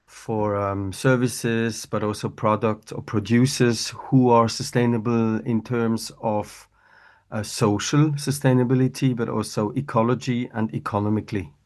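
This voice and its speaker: A man's voice: medium pitch, steady tone, a little slow. A man from Switzerland with a Swiss French accent, a native French speaker, hesitantly explaining his startup on a video call. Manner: hesitant, searching for words. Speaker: webinar participant. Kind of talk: casual chat.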